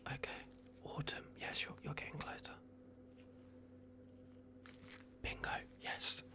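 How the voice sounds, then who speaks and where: A performer voicing a soft-spoken, bumbling vampire doctor in an ASMR roleplay, whispering hesitantly and repeating reassurances. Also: moderate pace, lightly acted. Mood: pleased, reassuring. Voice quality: whispered, creaky. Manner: hushed, hesitant, repetitive, reassuring.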